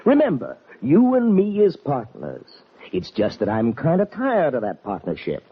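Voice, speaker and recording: An actor playing a menacing, double-crossing outlaw in an old Western radio drama, taunting with a tough drawl. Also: male voice, mid-range voice, wildly swooping pitch, normal speed, husky, highs cut off, full character voice. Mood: sneering, gloating.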